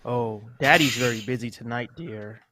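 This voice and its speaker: A man playing a soft-spoken mother tucking her children into bed in a live old-time radio play reading. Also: mid-range voice, normal speed. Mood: soothing. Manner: soft, soothing bedtime delivery.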